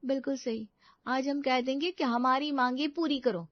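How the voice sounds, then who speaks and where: A woman's voice: sits high, fairly even intonation, normal speed, no room sound, close to an everyday voice. A woman playing a young, exasperated daughter-in-law in a family story, whiny and emphatic, in a slightly dull recording. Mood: determined.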